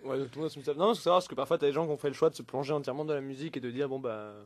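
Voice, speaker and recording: Fast-talking young male radio host. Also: medium pitch, no room sound. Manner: laughs often, loose rambling questions. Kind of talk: casual radio interview.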